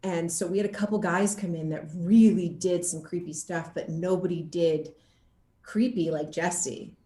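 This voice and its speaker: A woman's voice: on the low side, steady tone, normal speed, warm tone, roomy sound. A woman chatting casually as an interview guest, gushing and emphatic in her enthusiasm.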